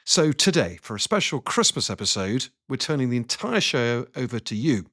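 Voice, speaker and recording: A man hosting a podcast as a journalist, lively and teasing in casual chat. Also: mid-range voice, normal speed, no room sound, clean studio sound.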